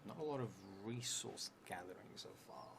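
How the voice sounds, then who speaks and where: A man in his thirties, a non-native English speaker, playing a solo tabletop game, reading prompts and thinking aloud in a halting, muttering way. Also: on the low side, lively intonation. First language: not English; English is a third language. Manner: halting, muttering to himself while writing, clearer when reading aloud. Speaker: solo tabletop roleplay streamer. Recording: clearly noisy stream audio.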